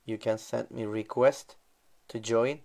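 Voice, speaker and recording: A man's voice: medium pitch, fairly even intonation, takes it slowly, gravelly, darkish tone. A man with a Turkish accent hosting a casual live online interview, speaking politely and full of thanks in repetitive phrases. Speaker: host of an online teacher talk series. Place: online video call live stream. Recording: dull, lo-fi live-stream audio.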